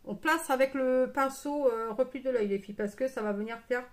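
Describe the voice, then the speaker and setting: A woman with a fairly high voice hosts a casual beauty live stream. She talks to her viewers in a chatty, familiar way, mixing step-by-step explanation with playful asides. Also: fairly even intonation, normal speed.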